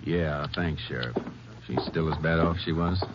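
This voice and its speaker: Very deep-voiced, laconic Texas Ranger. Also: male voice. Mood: calm, probing. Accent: American. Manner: measured, laconic, polite questioning. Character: calm, courteous middle-aged male Texas Ranger investigator. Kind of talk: 1950s western radio drama acting. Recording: muffled, noisy 1950s radio recording.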